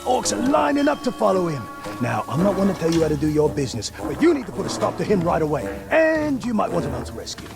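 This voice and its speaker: An actor playing a chatty, cowardly, comic orc sidekick in a video game, gravelly, rambling and ingratiating. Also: male voice, high voice, wildly swooping pitch, fast, exaggerated voice. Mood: anxious chatter. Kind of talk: video game cutscene acting. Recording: loud music behind.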